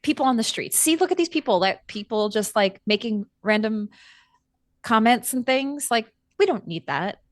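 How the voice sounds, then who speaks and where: Casting: female player as young vampire peacemaker for tabletop roleplay, flustered and hesitant, highish swooping voice, dry sound. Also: animated, dry recording, natural voice. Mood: flustered, explaining. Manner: hesitant, halting, apologetic explaining.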